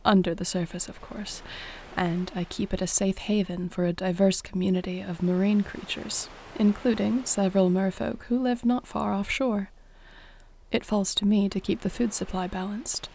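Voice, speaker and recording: Gentle mellow mermaid, voiced by a woman. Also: medium pitch, steady tone, gravelly, close to an everyday voice. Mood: soothing, serene. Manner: soft-spoken, unhurried, soothing, slightly formal wording. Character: gentle, serene female mermaid guarding a sea cove. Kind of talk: sleep-aid audio roleplay, soothing bedtime monologue. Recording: faint ambient ocean noise behind.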